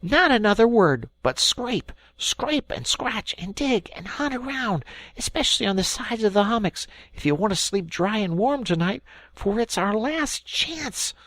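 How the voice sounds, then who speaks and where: A reader voicing an excitable, impatient male water rat with a shrill, bossy, exclamatory manner, in a storybook reading. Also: slow pace, few pauses, no room sound, full character voice. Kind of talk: storybook read-aloud.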